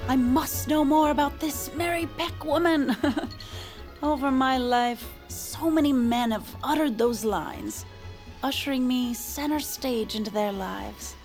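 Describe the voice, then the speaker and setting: An actress playing a vain, flirtatious socialite and mistress, theatrical and self-admiring, in a comedic audio fiction monologue. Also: female voice, high voice, slow pace, a little mellow, soft background music.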